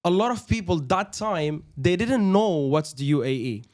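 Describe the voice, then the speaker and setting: A man from Algeria hosts the conversation in a fairly high voice. He questions eagerly, circling back with fillers and restarts as he works toward each point. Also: lively intonation, moderate pace, a little mellow. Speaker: podcast host. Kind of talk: conversational interview.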